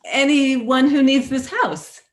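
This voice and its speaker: A woman playing a cheerful, gently defiant old lady in a staged play reading over a video call. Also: sits high. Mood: cheerfully stubborn. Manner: unhurried, expressive, gently chuckling.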